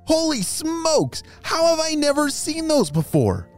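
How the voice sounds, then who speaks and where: A man voicing Luca, an eager young boy tortoise with a high, excited way of talking. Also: slow, faint music behind, exaggerated voice.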